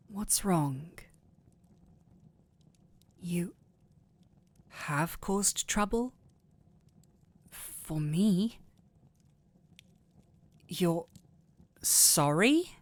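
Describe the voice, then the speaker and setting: A woman voicing a gentle, caring male doctor in an intimate fantasy audio roleplay, tender and softly questioning. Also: fairly low pitch, dry recording.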